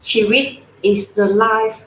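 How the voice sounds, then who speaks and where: A woman from Hong Kong with a Cantonese accent, giving a guest talk in an online panel and explaining things slowly and gently. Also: reverberant, slight background noise, low-quality recording. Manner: slow, gentle explaining with soft laughs. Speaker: guest speaker.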